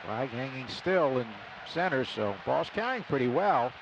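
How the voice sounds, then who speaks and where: A man's voice: medium pitch, sing-song, moderate pace, gravelly. A man calling baseball play-by-play on live radio in clipped phrases that swell on big plays. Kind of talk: live sports commentary. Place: broadcast booth at an outdoor ballpark. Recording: noisy live stadium radio broadcast. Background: loud ballpark ambience.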